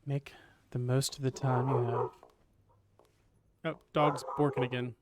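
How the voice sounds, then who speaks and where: A man's voice: medium pitch, little pitch movement, a little slow, very noisy. A man live-streaming a video game, making casual, offhand asides as he comments on what is playing. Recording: game music behind the voice. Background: video game music.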